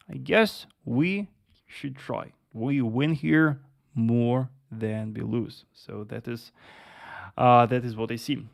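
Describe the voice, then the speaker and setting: Gravelly man running a live trading stream. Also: on the high side, a little mellow. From Russia. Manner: repeats words, addresses viewers as traders, many yes and uh fillers. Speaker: trader hosting a live stream. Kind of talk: live market analysis with chat Q&A.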